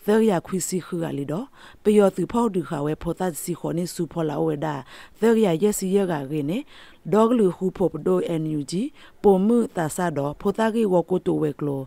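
A woman's voice: fairly low pitch, lively intonation, moderate pace, vocal fry, warm tone, no echo. A woman reading scripted news for radio, as a presenter in a studio.

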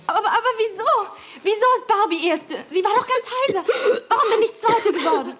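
A woman's voice: very high-pitched, lively intonation, brisk, fairly bright tone. A woman playing a haughty, jealous, ambitious young contest rival in a children's audio drama. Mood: indignant.

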